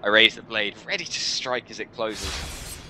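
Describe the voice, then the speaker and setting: A man hosting a gaming video, reading a visual novel aloud in an expressive, theatrical way with casual asides. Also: lowish voice, measured pace, slight background noise.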